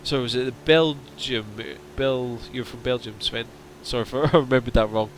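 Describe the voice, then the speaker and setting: A man's voice: medium pitch, moderate pace, a little hiss or hum. Tired man chatting on a stream. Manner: relaxed, chatty, answering viewers' questions. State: voice straining, going hoarse. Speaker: flight sim streamer. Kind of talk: casual livestream chat.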